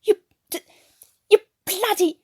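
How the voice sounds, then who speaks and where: A woman's voice: very high voice, fairly even intonation, takes it slowly, darkish tone, no echo, studio quality, pitched above the performer's own voice. A woman voicing an irritable, cheeky male cockney corporal in a story reading, curt and snapping. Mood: furious.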